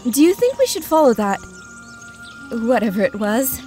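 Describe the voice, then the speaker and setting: A woman playing a sharp-tongued, scheming thief in an audio drama. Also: on the high side, sing-song, moderate pace, faint music behind.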